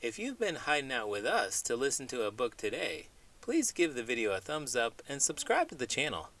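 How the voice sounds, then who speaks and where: A man hosting a children's read-aloud, speaking in an expressive, playful storytelling style. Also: medium pitch, fairly quick. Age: adult parent.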